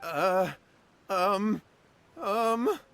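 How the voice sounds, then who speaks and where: A man's voice: high voice, extremely slow, fairly bright tone, clean tone, heavily acted. An actor playing an anxious, guilty, cowardly young man in a video game, stammering and flustered as he pleads. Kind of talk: dramatic video game voice acting.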